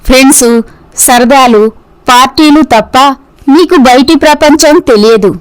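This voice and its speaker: A gentle young orphan woman played by a female voice actor in a moral tale. She is cautious and practical, with a high-pitched voice. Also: a little slow, clean tone, rough recording. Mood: cautious, resigned.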